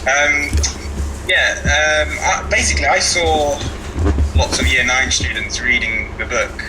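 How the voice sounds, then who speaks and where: A man teacher chatting casually as a podcast guest, in a hesitant, halting way. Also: mid-range voice, fairly even intonation, over music, rough recording. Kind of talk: casual chat.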